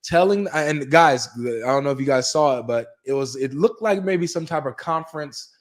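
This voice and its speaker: A man's voice: a little high, lively intonation, normal speed. A man co-hosting a casual religious debate chat, emphatic and urging viewers on, often ending phrases with "man". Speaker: co-host.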